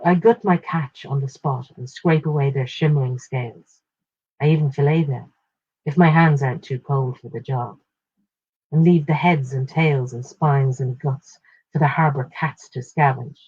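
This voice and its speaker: Husky low-fi woman reading literary fiction. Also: dark tone, no echo, highs cut off. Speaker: short story reader. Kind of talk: literary short story narration.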